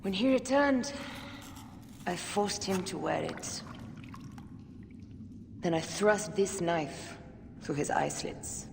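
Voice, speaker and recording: A woman voicing a fierce but loving assassin and avenging wife in a video game cutscene, with an intense, measured delivery. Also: lowish voice, lively intonation, gravelly, full character voice. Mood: grim. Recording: reverberant hall, loud ambient noise.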